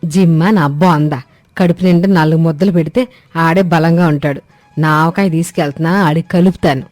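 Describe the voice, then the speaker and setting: Woman playing a sharp-tongued old mother-in-law. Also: low-pitched, fairly even intonation, fairly quick, no echo, lightly acted. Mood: grumbling. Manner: scolding, rustic, blunt. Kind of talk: scripted comic family story acting. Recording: dry studio, music behind.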